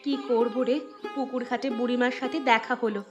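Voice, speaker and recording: A woman's voice: fairly high pitch, steady tone, fairly quick, highs cut off, music in the background, close to an everyday voice. A woman voicing a kind, sensible elder daughter-in-law in a cartoon village tale, patient and reasonable. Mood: reproachful. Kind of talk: Bengali cartoon fairy-tale dubbing.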